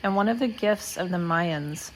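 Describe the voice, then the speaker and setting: A woman with a fairly low voice speaks as a meditation guide. She is measured and deliberate, placing short phrases between pauses. Clear nature noise, with birds and crickets, runs behind her. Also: low-quality recording. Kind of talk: guided meditation talk, spoken reflectively.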